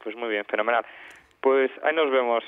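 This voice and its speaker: A man's voice: mid-range voice, fairly quick, clear, resonant tone, no echo, lightly acted. Man as businessman on a thin phone line. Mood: courteous. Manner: hesitant, thinking aloud. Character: courteous businessman answering his office phone. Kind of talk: telephone conversation in a language lesson. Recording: thin narrow telephone line.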